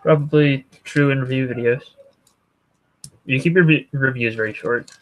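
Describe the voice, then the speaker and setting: A young man chatting casually as a guest, with a dry, deadpan way of teasing. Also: mid-range voice, moderate pace, muffled recording. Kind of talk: casual chat.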